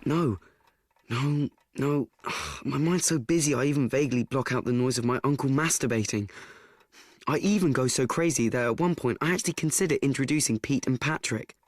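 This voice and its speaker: A man's voice: medium pitch, moderate pace, no echo, natural voice. An actor playing an anxious, awkward schoolboy who narrates his woes in a rambling radio play monologue, correcting and repeating himself. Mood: dejected, frantic. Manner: rambling, repetitive, self-correcting.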